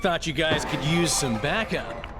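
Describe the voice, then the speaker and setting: An actor playing a knowing superhero ally in a video game, plain-spoken, over music. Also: male voice, a little high, animated, measured pace. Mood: concerned. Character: worried, knowing male cosmic superhero ally.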